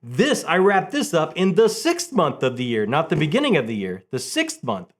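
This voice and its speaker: A man with a slightly high voice hosts a casual book chat. He speaks with enthusiasm and animation, often restarting and repeating words as he works toward his point. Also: wildly swooping pitch, normal speed. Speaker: book vlog host.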